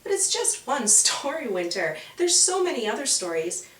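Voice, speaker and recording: A woman voicing a patient, reassuring big sister in a storybook, explaining gently. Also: fairly high pitch, sing-song, moderate pace. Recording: roomy, distant from the mic.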